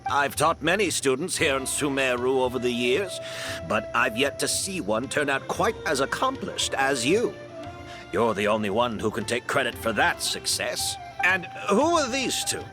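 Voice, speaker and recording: A man's voice: medium pitch, big pitch swings, moderate pace, over music, close to an everyday voice. A man playing a modest, gracious older master perfumer and mentor in a video game. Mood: warm, modest. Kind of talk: video game character acting.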